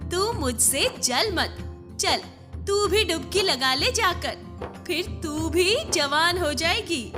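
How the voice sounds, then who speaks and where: A woman plays a gloating village woman in a cartoon, freshly young and full of herself. Her high voice swoops around in slow, sing-song taunts, with clear music behind it. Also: animated, exaggerated voice. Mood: boastful, teasing. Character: boastful, taunting old woman magically turned young. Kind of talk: exaggerated Hindi cartoon story acting.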